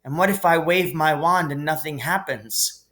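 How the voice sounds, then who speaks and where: Man acting young schoolboy hero, storybook, plucky, earnest, bright forceful tone. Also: a little high, moderate pace, pitched above the performer's own voice, close to an everyday voice. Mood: puzzled.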